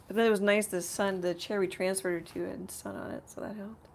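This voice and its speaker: A woman hosts a relaxed live painting demonstration, thinking out loud as she works. Her voice is a little rough, with a gravelly creak and a middle pitch. There is faint noise in the studio behind her. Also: a little fast. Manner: rambling, thinking aloud while working. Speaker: painter hosting a live art tutorial. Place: home art studio.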